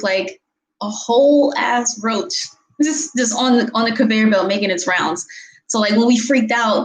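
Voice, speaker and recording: A woman's voice: on the high side, slight background noise, poor audio. A woman, chatting casually as she answers viewer questions from her bedroom on a webcam, giggling often and using many fillers. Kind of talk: casual chat. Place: bedroom, indoors. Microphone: webcam at desk distance.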